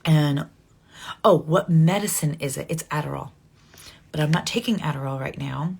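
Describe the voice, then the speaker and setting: A woman's voice: deep voice, moderate pace, creaky, darkish tone, husky. A woman in her forties chatting casually with viewers on a live stream, rambling and correcting herself with frequent ums. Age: 40s. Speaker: livestream host.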